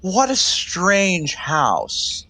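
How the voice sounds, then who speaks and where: Casting: man to play naive, polite young beau in 1920s comedy; expressive pitch, dry close sound. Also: drawn-out words, dry recording, heavily acted. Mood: puzzled, coaxing. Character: earnest, genial, naive young 1920s suitor. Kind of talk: 1920s one-act stage comedy acting.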